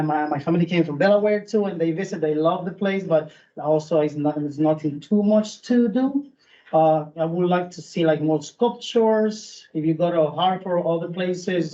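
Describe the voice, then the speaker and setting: A man with a strong Puerto Rican accent speaks at a town meeting, halting and earnest, full of restarts and fillers. His voice sits a little high and sounds soft and mellow. The recording is dull and muffled. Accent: Puerto Rican Spanish. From Puerto Rico. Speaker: food truck owner speaking at public meeting. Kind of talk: informal public comment. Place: public meeting room.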